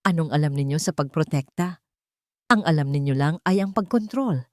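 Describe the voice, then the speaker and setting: A woman voicing a wronged adult confronting a parent, bitter, deliberate and sarcastic, in an audio love story. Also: low voice, lively intonation, no room sound, clean studio sound. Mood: accusing. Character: wronged adult child, bitter, coldly accusing their mother.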